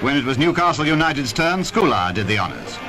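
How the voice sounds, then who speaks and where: A man's voice: mid-range voice, sing-song, quick pace. A man with a British accent giving formal, clipped scripted sports narration as a 1950s newsreel commentator. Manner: formal, clipped announcer delivery. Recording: vintage 1950s newsreel, loud music behind. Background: loud music.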